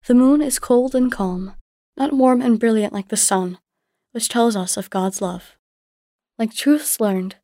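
Storybook mother, played by a woman: gentle and devout, measured teaching manner, raised pitch, dry recording. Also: dry recording, close to an everyday voice. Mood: devout, calm. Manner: tender, measured, instructive.

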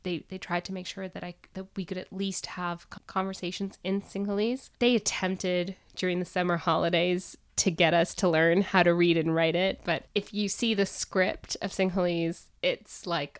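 A woman guest telling stories about her family in a chatty, easygoing way. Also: fairly low pitch. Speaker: interview guest. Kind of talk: casual storytelling.

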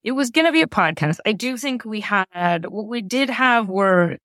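A woman's voice: medium pitch, lively intonation, normal speed, fairly bright tone, no room sound, studio quality. A woman chatting casually as an interview guest, candid and wry, often acting out imagined remarks to explain herself. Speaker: interview guest, audio drama creator. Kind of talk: casual chat.

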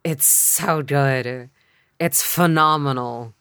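A woman's voice: rumbling low, slow, a bit bright, clear, resonant tone, no room sound, clean studio sound. A woman chatting casually, gushing with enthusiasm about what she likes. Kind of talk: casual chat.

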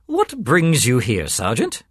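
Man playing a genial priest, very animated pitch. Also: takes it slowly, breathy edge, no echo, studio quality, full character voice. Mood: cheerful, teasing. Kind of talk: storytelling character voice.

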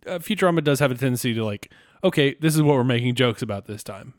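A man's voice: mid-range voice, animated, fast talker, no room sound, studio quality. A man co-hosting a podcast, talking conversationally and casually as he goes over an episode. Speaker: podcast co-host. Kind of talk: casual episode recap chat.

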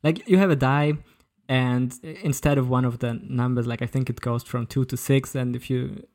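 A man talks casually on a podcast. His voice sits at a medium pitch with plenty of gravelly fry. His delivery is loose and informal. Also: steady tone, moderate pace, darkish tone. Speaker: podcast panelist. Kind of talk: casual chat.

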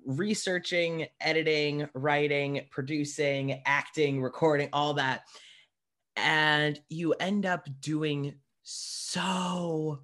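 A man's voice: a little high, fairly even intonation, slow, a bit bright. A man hosting a podcast, chatting casually with a wry, self-deprecating manner. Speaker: podcast host. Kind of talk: casual interview chat.

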